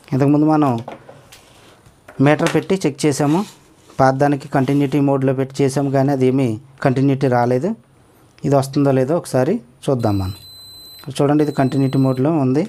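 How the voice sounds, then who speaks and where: A man's voice: mid-range voice, warm tone. A man explaining a speaker repair step by step as he works, often asking viewers to look and follow along. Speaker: electronics repair tutor. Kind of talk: hands-on repair tutorial.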